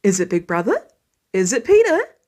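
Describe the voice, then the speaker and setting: A woman's voice: mid-range voice, huge pitch swings, normal speed, a little mellow. A woman voicing a tired, sarcastic, doting new father with dry, exaggerated grousing in an audiobook story. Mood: playful, doting.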